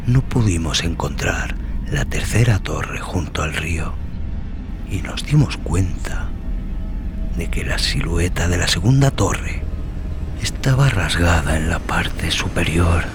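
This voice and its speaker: A man with a very deep voice delivers scripted horror narration. He is solemn and deliberate, leaving dramatic pauses between phrases. Music plays clearly behind the voice. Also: expressive. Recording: voice over prominent background music.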